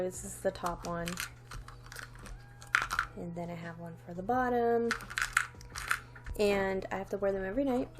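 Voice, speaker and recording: A woman's voice: mid-range voice, background noise. Young woman with a slight lisp, rambling. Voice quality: slight lisp from a new retainer. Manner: rambling, many "like"s and restarts, light laughs. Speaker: vlogger. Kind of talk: casual chat to camera.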